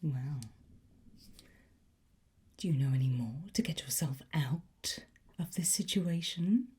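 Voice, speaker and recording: A woman whispering an ASMR roleplay as a sly, softly menacing demonic teacher, in a coaxing, teacherly manner with pauses. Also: sits low. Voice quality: breathy, mostly whispered.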